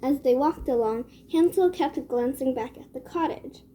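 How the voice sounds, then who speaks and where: A woman storyteller narrating a children's read-aloud tale with measured phrasing. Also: high voice, dark tone.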